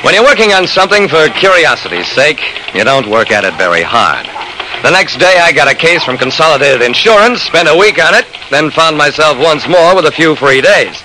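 A man's voice: fairly high pitch, very animated, brisk. An actor playing a shrewd, persistent private investigator narrating his case in an old-time radio drama, with clipped, hard-boiled delivery. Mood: measured, matter-of-fact. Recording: noisy vintage radio recording.

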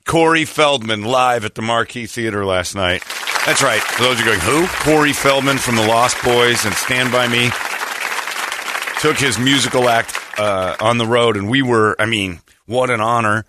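A hoarse man hosting a morning radio show, rambling through a story with many restarts. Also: mid-range voice, moderate pace, over a noisy crowd, very noisy. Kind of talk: casual morning show chat. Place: radio studio. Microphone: studio mic.

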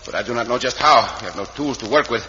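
A noisy old radio recording with a long echo carries an actor as a gallant Mexican adventurer. His medium-pitched male voice is resolute and protective, with formal accented English and Spanish exclamations. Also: quick pace, bright, forceful tone, natural voice. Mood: grim, determined. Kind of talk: old-time radio serial acting. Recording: muffled noisy old radio, echoing tunnel.

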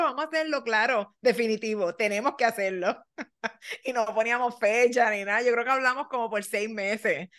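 A woman's voice: mid-range voice, fairly bright tone, clear, resonant tone. Chatty bilingual woman co-host. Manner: chatty, mixes English words, restarts often. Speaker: podcast co-host. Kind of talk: casual bilingual chat.

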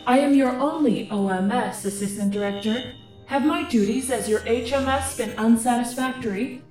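A literal-minded female software assistant voiced by an actress from a sci-fi radio play. She speaks in a formal, exact, deadpan manner, slightly high. The sound is roomy, with clear music underneath. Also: normal speed, recorded from a distance, close to an everyday voice. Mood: formal, neutral. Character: literal-minded female computer assistant managing a home and office. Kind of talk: audio drama acting.

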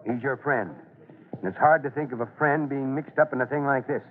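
An American actor playing a gruff but fatherly, authoritative fire chief in an old-time radio drama, with brisk, clipped questions. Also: mid-range voice, slight background noise, lightly acted. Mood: firm, serious. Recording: tinny vintage radio recording.

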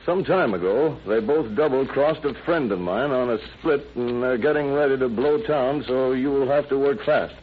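An actor playing an eager, plain-spoken deputy sheriff with a brisk, colloquial manner in an old-time radio crime drama. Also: male voice, mid-range voice, slightly rough, lightly acted. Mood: reporting. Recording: tinny, noisy vintage radio recording.

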